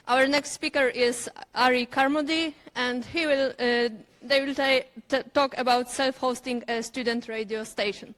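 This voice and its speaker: Higher-voiced woman introducing a speaker. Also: steady tone, a bit bright. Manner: halting, stumbles over words. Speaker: session moderator.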